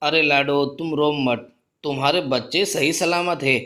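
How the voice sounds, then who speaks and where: A man's voice: a little high, a little slow, fairly bright tone. A man voicing a kindly male crow, a helpful neighbour in a cartoon, explaining things reassuringly.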